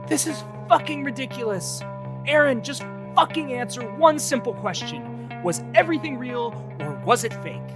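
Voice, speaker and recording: A man's voice: extremely high pitch, expressive, moderate pace. An actor playing a furious, hot-headed man who feels betrayed, blunt and confrontational in an audio drama. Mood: angry, disgusted. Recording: guitar music behind the voice.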